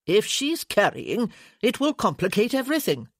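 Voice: mid-range voice, big pitch swings, moderate pace, no echo, clean studio sound, voice pushed up. A storybook narrator voicing a puffy, over-eager little lord who prattles on insistently.

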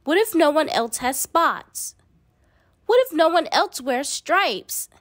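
Woman voicing an anxious little mouse girl, high-pitched. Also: animated, slow pace, fairly bright tone, dry recording, studio quality, exaggerated voice. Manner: fretful, questioning. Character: small anxious mouse girl, fretful worrier. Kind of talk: children's storybook read-aloud.

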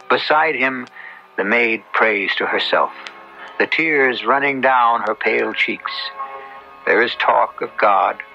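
A man hosting and narrating a radio drama in a grave, deliberate, scripted style. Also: on the low side, creaky, sharp tone. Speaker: host and narrator. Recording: dull old radio recording. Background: music.

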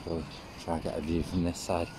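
A man exploring an abandoned building, muttering quick asides to camera in a casual vlog. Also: low voice, little pitch movement. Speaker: urban explorer vlogger. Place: abandoned brewery building, indoors and rooftop. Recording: noisy handheld camera audio.

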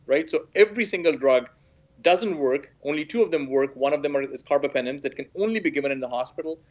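A man from Pakistan in his forties talks through a science question from a small room at home. His voice sits a little high and comes through a muffled, lo-fi video-call mic. He explains in a measured way, full of small hedges and restarts. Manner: measured, hedging with "sort of", frequent restarts. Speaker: guest expert, professor. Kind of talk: explanatory interview talk. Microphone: laptop webcam video call. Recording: dull lo-fi video call audio.

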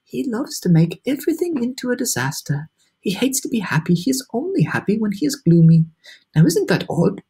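A performer voicing a prim, gentle female ladybug, polite and confiding, in a children's storybook. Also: medium pitch, big pitch swings, a little fast, slightly rough, voice pushed up. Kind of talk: children's storybook read-aloud.

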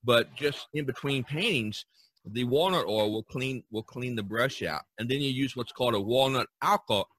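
A man hosting a casual podcast chat, talking in a halting way and repeating words. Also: mid-range voice, fairly bright tone. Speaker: podcast host.

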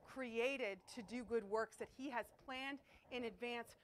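Brief: middle-aged woman, chapel speaker, emphatic sermon, slightly high voice, faint hiss, far mic. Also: a little slow. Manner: emphatic, animated preaching with rhetorical questions. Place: school chapel auditorium with stage.

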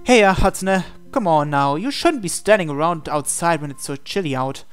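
A man plays an injured young visual novel hero with a fairly high voice. He talks casually and reassures gently, with music underneath. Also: animated, normal speed, clear, resonant tone, lightly acted. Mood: casual concern. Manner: casual, colloquial.

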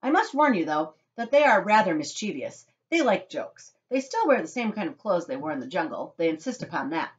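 Woman acting an excitable eccentric male factory owner, swooping intonation, emphatic, theatrical storybook voice. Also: on the low side, fairly quick, hardly any pauses, lo-fi sound. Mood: enthusiastic. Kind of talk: children's storybook character voice.

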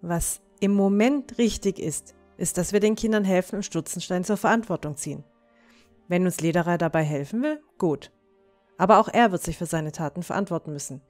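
Eine Frau spielt eine entschlossene, tiefere junge Heldin. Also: female voice, on the low side, brisk, a little mellow, soft background music, lightly acted. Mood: resolute. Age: young adult. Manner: firm, decisive. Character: resolute, stern young heroine with firm morals. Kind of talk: fan-made fantasy audio drama acting.

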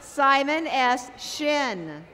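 A woman reads graduates' names into a microphone at an outdoor commencement. Her voice is high and bright, rising and falling as she takes each name slowly. A faint crowd murmurs behind her. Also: big pitch swings. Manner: slow, careful name reading. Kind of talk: reading graduates' names at a ceremony.

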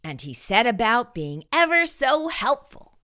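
A woman reading a children's storybook aloud expressively, with deliberate, dramatic pauses. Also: a little high, sing-song, bright, forceful tone, clear, resonant tone. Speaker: storytime host and narrator.

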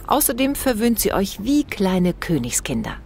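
A woman voicing a concerned, caring mother who gently instructs her children in an audio story. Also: mid-range voice, very animated, normal speed, creaky, slight background noise, pitched above the performer's own voice. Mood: caring, firm.

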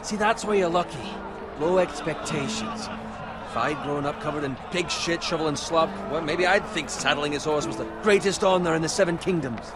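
A man's voice: fairly high pitch, normal speed, lightly acted. An actor playing a resentful, sneering young male squire in a medieval fantasy game, grumbling and sarcastic. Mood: bitter sneering. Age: young adult. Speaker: video game character actor. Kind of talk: cinematic fantasy game dialogue. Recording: clearly noisy outdoor game ambience.

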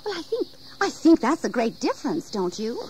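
A woman's voice: high-pitched, big pitch swings, normal speed, very noisy. A woman playing a genteel, proud and anxious plantation mistress in an audio history drama, with emotional delivery. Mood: genteel concern. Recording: 1980s audio drama, insect ambience.

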